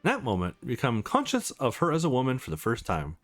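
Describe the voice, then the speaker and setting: Male let's play narrator, hugely varied pitch, expressive story reading, light music behind. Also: fast talker. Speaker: let's play host reading a visual novel aloud. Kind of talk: expressive read-aloud of game narration and dialogue.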